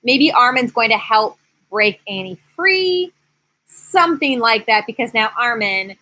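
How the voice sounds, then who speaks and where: Bright-voiced woman in her thirties, chatting casually. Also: on the high side, measured pace. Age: 30s. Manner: candid, animated, rambling. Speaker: YouTube host, former teacher. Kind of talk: casual live-stream chat, answering viewers. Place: screened outdoor porch.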